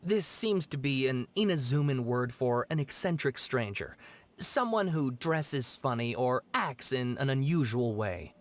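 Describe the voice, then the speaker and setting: An actor voicing an earnest young male researcher in a video game, calmly explaining background. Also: medium pitch, a little slow, close to an everyday voice. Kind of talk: game voice acting.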